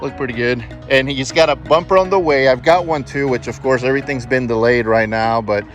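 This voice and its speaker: A man with a mid-pitched voice chats informally as a car channel host. He is enthusiastic and casual throughout. Clear music plays behind his voice. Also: fairly quick. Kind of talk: casual vlog chat.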